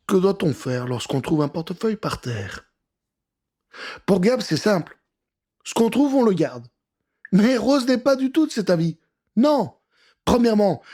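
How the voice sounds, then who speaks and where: A man narrating a children's story in an expressive, theatrical and conspiratorial way, speaking straight to the listener. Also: high voice. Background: music.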